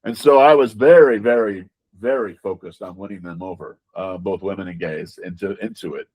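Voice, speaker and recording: A man's voice: low voice, brisk, a little mellow, low-quality recording. A man giving conversational interview answers as a game designer, emphatic, repeating words and often checking in with rhetorical questions.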